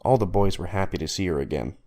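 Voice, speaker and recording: A man's voice: low-pitched, fast talker, mellow. A man reading scripted story narration as the narrator.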